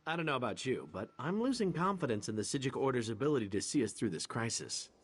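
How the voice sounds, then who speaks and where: A man's voice: mid-range voice, very animated, quick pace, husky. A man voicing a disillusioned, impatient warrior in a fantasy video game, speaking bluntly with a wry edge. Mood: grim, doubtful.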